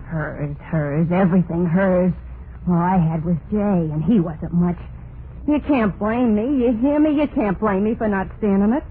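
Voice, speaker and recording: Actress as a tormented murderous wife, old thin radio sound. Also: female voice, medium pitch, measured pace, narrow, tinny audio, natural voice. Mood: bitter, resentful. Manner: dramatic brooding monologue with repeated phrases, plain folksy speech. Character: tormented, murderous young wife confessing, frightened and resentful. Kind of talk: vintage radio horror play acting. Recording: noisy, band-limited vintage radio recording.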